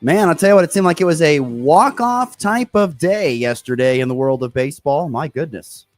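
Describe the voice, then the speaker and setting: A man hosting a local morning sports talk radio show, a bit hoarse from a sore throat, upbeat and rambling with many fillers. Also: on the high side, lively intonation, moderate pace, dry recording, soft background music.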